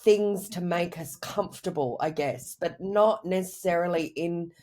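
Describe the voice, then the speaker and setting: A woman with a cold, sounding croaky, answering interview questions over a video call in a reflective, rambling way. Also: on the low side, measured pace, a little mellow. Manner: reflective, rambling with many "you know" and "I guess". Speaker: guest, writer and mother. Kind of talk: candid conversational interview answers.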